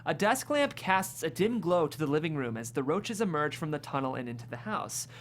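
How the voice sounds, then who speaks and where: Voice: mid-range voice, big pitch swings, a little fast, a little hiss or hum. A narrator vividly reading scripted stage directions at a comedic table read.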